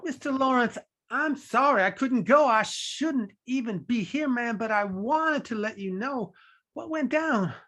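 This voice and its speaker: Actor as young male messenger, apologetic, streetwise slang, middling pitch, stage play read, video call. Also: animated, a little slow, fairly bright tone. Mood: apologetic, urgent.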